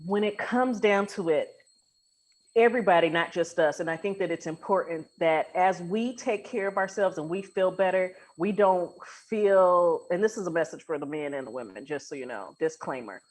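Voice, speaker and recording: A woman with a slightly low voice talks as a podcast guest and coach. Her delivery is conversational and colloquial, earnest and emphatic as she makes her points. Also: normal speed, low-quality recording. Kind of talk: casual conversational podcast chat.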